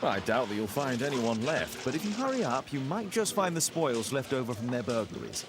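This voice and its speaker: An actor playing a keen, composed Victorian gentleman detective in a dramatic video game. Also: mid-range voice, big pitch swings, fairly quick, background noise, lightly acted. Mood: composed, urgent.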